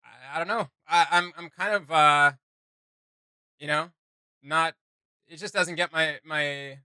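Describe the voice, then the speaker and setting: A man's voice: fairly high pitch, fairly even intonation, measured pace, sharp tone, clear, resonant tone, dry recording, clean studio sound. A man hosting a reaction video with casual, off-the-cuff commentary, blunt and sarcastic as he rambles.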